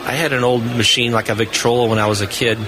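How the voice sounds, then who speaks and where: A man's voice: mid-range voice, fairly even intonation, fast, a crowd in the background. A middle-aged or older man, an engineer, chatting casually as a guest in a live radio interview. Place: convention hall booth. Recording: noisy live event floor broadcast. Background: busy convention floor noise.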